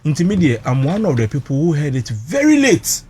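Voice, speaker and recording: A man's voice: a little high, big pitch swings, moderate pace, mellow, breathy edge. A Ghanaian man recounting personal experience emotionally, mixing Twi and English, emphatic and dramatic, repeating phrases for weight.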